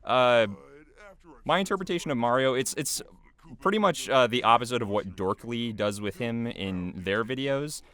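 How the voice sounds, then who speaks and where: A man's voice: mid-range voice, lively intonation, normal speed, a bit bright. A man giving casual, unscripted behind-the-scenes commentary in a rambling, hesitant way.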